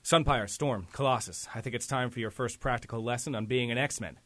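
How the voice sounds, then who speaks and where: A man playing a confident, wry superhero team leader in an audio drama, crisp and commanding. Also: mid-range voice, fairly quick, a bit bright, no echo, close to an everyday voice. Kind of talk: fan audio drama acting.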